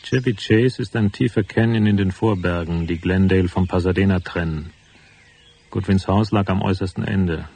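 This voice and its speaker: An actor playing a tough, cynical private detective in a noir radio drama, speaking in a laconic, unsentimental manner. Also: male voice, sits low, normal speed, darkish tone, slight background noise. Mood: brooding, matter-of-fact. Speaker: narrator and lead character.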